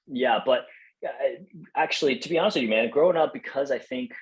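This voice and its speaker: A man's voice: medium pitch, fairly quick, rough recording. A young man from Taiwan, a podcast guest, chatting casually and in a friendly way, with lots of fillers and restarts. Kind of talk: casual interview chat.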